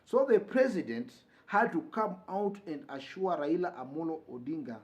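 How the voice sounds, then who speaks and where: High-pitched, animated Kenyan male political commentator. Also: moderate pace. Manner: emphatic, repeats key phrases for weight. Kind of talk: news commentary to camera or listeners.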